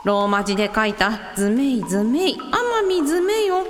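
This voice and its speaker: A woman plays a cheerful mother in a radio drama, casual and encouraging. Her high voice swoops freely up and down, in long reverb over music. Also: sing-song, fairly quick. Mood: casual, motherly. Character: cheerful, practical mother of grown daughters.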